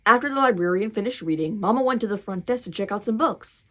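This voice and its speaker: Animated woman reading a children's storybook. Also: mid-range voice, fast talker. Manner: animated, dramatic, cheering reader. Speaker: picture book read-aloud host. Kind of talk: expressive children's storybook reading with excited asides.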